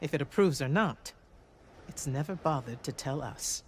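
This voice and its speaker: An actor playing a commanding male battlemage mentor in a video game, speaking in a measured, grave, explanatory way. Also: a little high, animated, background noise, natural voice. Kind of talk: cinematic game dialogue.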